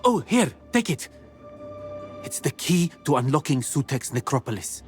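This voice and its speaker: A man's voice: fairly high pitch, big pitch swings, normal speed, soft background music. A man voicing a formal, old-fashioned male keeper of ancient secrets in a video game cutscene. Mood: relieved, warm. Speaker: game character.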